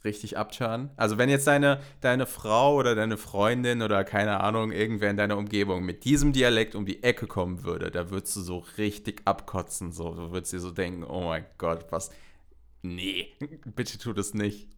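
A man's voice: fairly low pitch, moderate pace, clear, resonant tone. A man with a North Rhine-Westphalian German accent co-hosting a podcast, blunt, easygoing and slangy. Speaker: podcast co-host. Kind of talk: casual chat.

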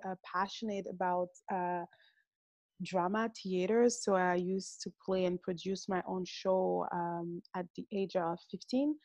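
A woman from Cameroon, a podcast guest talking about her life in a relaxed way, pausing often with fillers. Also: medium pitch, no room sound, lo-fi sound. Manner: relaxed, with frequent fillers and pauses. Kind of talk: casual interview talk.

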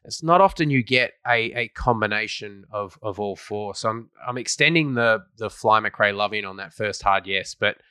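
A man's voice: on the low side, moderate pace, few pauses, sharp tone, clean tone, dry recording. A man co-hosting a casual podcast, easygoing and joking, with mock-grand announcements of show segments. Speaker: podcast co-host.

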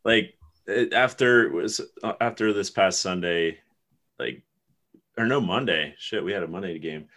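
A man's voice: low voice, unhurried, a bit bright. Laid-back man in his thirties from Ohio. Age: 30s. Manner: laid-back, rambling, laughs often. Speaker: podcast co-host. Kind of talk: casual chat.

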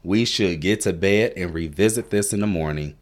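Brief: a man putting on a gentle storybook mom, low and soothing. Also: normal speed, close to an everyday voice. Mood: tender. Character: gentle loving mother.

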